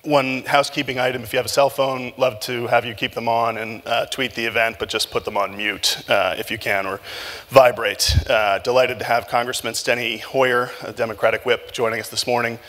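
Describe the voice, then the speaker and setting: A man moderating a live breakfast event, talking loosely with many filler pauses as he asks his guests questions. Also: medium pitch, little pitch movement, fairly bright tone, in a large hall. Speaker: moderator and journalist. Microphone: handheld mic.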